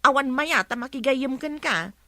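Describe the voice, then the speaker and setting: A woman's voice: sits high, normal speed, pushed and bright, no room sound, studio quality. A Filipino woman acting in a radio drama as an exasperated rural wife who scolds and pleads to keep the peace. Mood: scolding.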